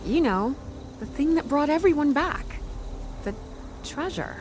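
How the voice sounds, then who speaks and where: A woman's voice: high voice, animated, measured pace, background noise. A woman playing a scrappy, friendly young treasure hunter in a video game, with a casual, earnest and slightly hesitant delivery. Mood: hopeful, eager. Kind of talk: naturalistic video game dialogue.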